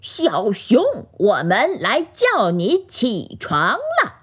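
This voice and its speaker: A woman voicing a lively, helpful little monkey in a children's storybook, energetic in manner. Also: sits high, a little fast, pitched above the performer's own voice. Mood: calling loudly.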